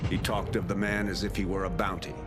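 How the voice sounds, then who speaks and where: An actor playing a middle-aged military commander and father in a video game, stern and seasoned, speaking in a grave, measured, authoritative way. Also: sits low, little pitch movement, loud music behind the voice, close to an everyday voice. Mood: grim, resolute. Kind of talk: dramatic video game acting. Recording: loud cinematic score over the voice.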